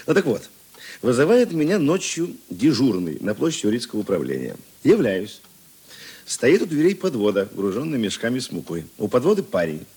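An actor playing a seasoned police investigator in a radio drama, gruff but talkative, with a husky voice and halting, conversational delivery. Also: male voice, mid-range voice, very animated, a little mellow. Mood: eager storytelling. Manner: musing, halting, conversational asides. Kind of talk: Russian radio drama dialogue.

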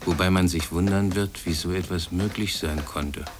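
An actor playing a solemn reciter of old sayings in a 1950s radio drama, measured and declamatory. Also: male voice, low-pitched, fairly even intonation, music in the background, close to an everyday voice. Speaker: actor reading quotations.

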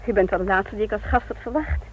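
A woman's voice: medium pitch, fast, a little mellow, husky, close to an everyday voice. A woman playing a middle-aged mother in a radio play, guarded and dramatic, who wants to be left in peace. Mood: guarded, shaken. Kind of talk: Dutch radio play acting. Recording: tinny narrow-band old radio recording, hiss.

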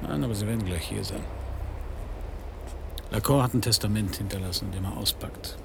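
An actor playing a world-weary private detective in a German radio crime drama, terse and gravelly, sometimes hushed. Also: male voice, lowish voice, normal speed, noisy background. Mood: confrontational. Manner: terse, laconic. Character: world-weary male private detective, hard-boiled narrator. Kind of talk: German radio crime drama, first-person noir narration and dialogue.

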